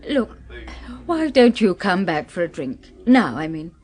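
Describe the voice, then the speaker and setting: An actress with a British accent playing an anxious, polite new widow in a 1970s radio drama. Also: female voice, fairly low pitch, big pitch swings, a little slow, a little mellow. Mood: polite, uneasy.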